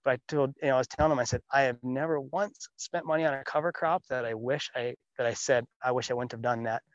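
A man's voice: medium pitch, steady tone, fast talker, dry recording, highs cut off. A man farmer being interviewed outdoors in a farm field, talking plainly with many restarts. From Minnesota. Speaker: farmer guest.

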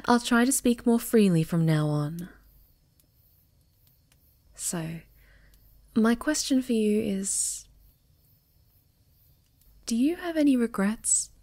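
A woman playing a sweet, affectionate, polite moth monster girl in an intimate ASMR roleplay, gentle and often dropping toward a whisper. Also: fairly high pitch. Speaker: voice actor in an audio roleplay.

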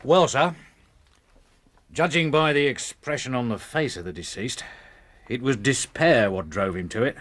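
An actor playing a deferential, plain-spoken police inspector with an English accent in a radio drama. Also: male voice, mid-range voice, measured pace, pauses often, a bit bright. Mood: matter-of-fact, respectful.

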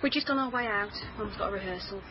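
A noisy, slightly dull radio drama recording features an actress as a protective daughter. Her female voice is medium in pitch, with a natural but defensive delivery. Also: fast talker, lightly acted. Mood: reluctant.

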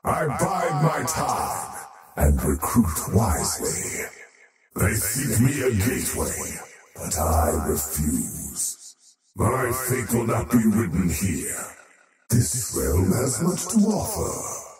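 An actor playing a power-hungry, faceless void creature for a video game, slow, portentous and theatrical in his gloating menace. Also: lowish voice, wildly swooping pitch, exaggerated voice. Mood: proud, scheming. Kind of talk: scripted video game character voice lines. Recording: heavily echoing, distant, loud music behind.

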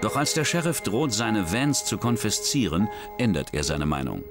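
Male documentary narrator, low register, scripted crime storytelling, music underneath. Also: very animated, normal speed. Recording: music bed under voice-over. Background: background music.

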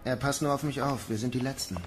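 A man's voice: medium pitch, steady tone, quick pace, a little hiss or hum, natural voice. A man playing a world-weary, guarded but protective Mars mission commander in a German audio drama. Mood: sombre, matter-of-fact.

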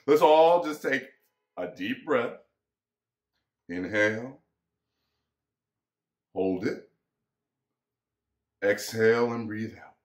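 A man in his twenties reading aloud conversationally and dramatically, addressing the listener directly with deliberate pauses. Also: on the low side. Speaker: performer reading a book aloud. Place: small room indoors, seated. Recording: echoey room.

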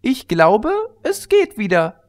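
A man voicing an excitable, cheerful young female pony in a storybook reading. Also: high voice, slow, pitched above the performer's own voice, heavily acted. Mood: chirpy, relieved.

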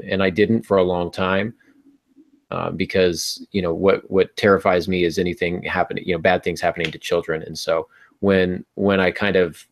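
A man's voice: deep voice, gravelly. A middle-aged man hosting a casual panel discussion on writing, conversational and thinking aloud, on a video call with earbuds from a small home room. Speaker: podcast host. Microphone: earbud headset on a video call.

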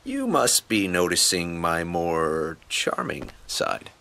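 A smitten, self-admiring flirt from a fantasy game. His voice is fairly low yet bright and forceful. It swoops up and down with theatrical, flowery smugness. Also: huge pitch swings, takes it slowly, creaky, heavily acted. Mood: smug flirting. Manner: flowery, smug, theatrical wooing. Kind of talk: video game voice acting.

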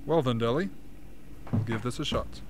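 A composed official in charge, acted by a man in a radio drama. He sounds reassuring and speaks at a medium pitch. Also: normal speed, noisy background, natural voice. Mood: directing. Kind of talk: amateur radio play acting.

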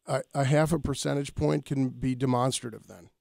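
Gravelly male radio host. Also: medium pitch, fairly even intonation, normal speed, darkish tone, dry recording, studio quality. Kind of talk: conversational radio interviewing.

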